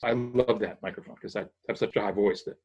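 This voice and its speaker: A man's voice: on the low side, steady tone, a little fast, a little mellow. An older man from Massachusetts, a sound engineer guest, patiently explaining technical things in a casual interview, thinking aloud with small restarts. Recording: dull lo-fi audio.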